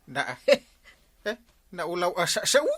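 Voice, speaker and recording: An actor playing an excitable, teasing village uncle in a comedy radio drama, halting and full of exclamations. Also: male voice, sits high, no room sound, studio quality, full character voice. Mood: excited teasing.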